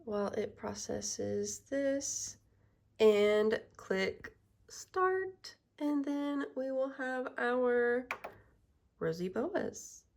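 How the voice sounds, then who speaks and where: A woman narrating her small business vlog, stuffy and congested, walking through her work in a soft, unhurried way. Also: on the high side, lively intonation, vocal fry. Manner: slow, soft-spoken walkthrough.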